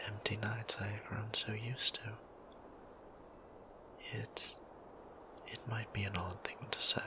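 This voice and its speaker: A man voicing a shy, gentle, centuries-old vampire priest in an intimate ASMR roleplay, breathy and halting, with genteel old-fashioned wording and a flustered stammer. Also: noisy background. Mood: tender, wistful. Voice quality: breathy, whispery, low.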